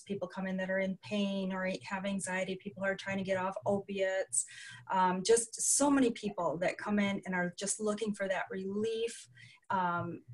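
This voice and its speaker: A woman's voice: mid-range voice, rough recording. An older woman, a retired health coach, answering interview questions over a video call from a small room at home, in an earnest, matter-of-fact way. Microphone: webcam video call.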